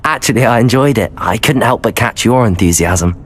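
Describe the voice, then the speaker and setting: A man voicing a polite, eager young student wizard in a video game, earnest and slightly husky. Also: fairly low pitch, expressive, brisk, a little hiss or hum, natural voice.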